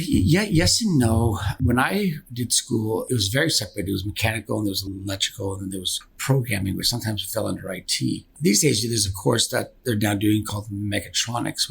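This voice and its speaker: A man's voice: lowish voice, vocal fry, a bit bright, breathy edge. A man explaining his work as an interview guest, in a rambling, self-correcting way. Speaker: guest, engineering company founder.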